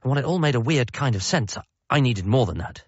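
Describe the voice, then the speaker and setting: A man's voice: medium pitch, lively intonation, fast, dry recording, dull audio, close to an everyday voice. An actor playing an anxious, wry grown son, a medical man, narrating and talking in a radio comedy drama. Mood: wry, intrigued. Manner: wry, hesitant in dialogue.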